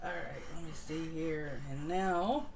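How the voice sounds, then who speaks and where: A woman's voice: low voice, takes it slowly. A woman co-host giving casual commentary on a live stream. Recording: home live stream with background noise.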